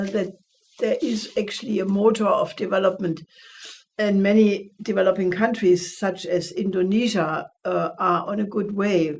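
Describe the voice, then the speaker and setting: An older woman with a German accent speaks over a video call from a room at home. She talks haltingly, with many fillers and frequent throat clearing, at a moderate, even pitch. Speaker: guest. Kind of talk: webcast dialogue, answering questions.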